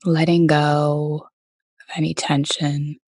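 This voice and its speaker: A woman leading a guided meditation as teacher, speaking gently in a soft voice with many pauses. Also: low-pitched, level tone, no echo, clean studio sound. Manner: gentle, unhurried, pausing often between phrases.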